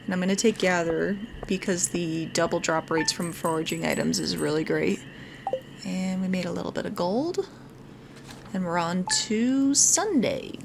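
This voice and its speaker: A woman's voice: fairly low pitch, normal speed, vocal fry, noisy background. A woman giving casual let's play commentary as the host of a gaming video. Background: music.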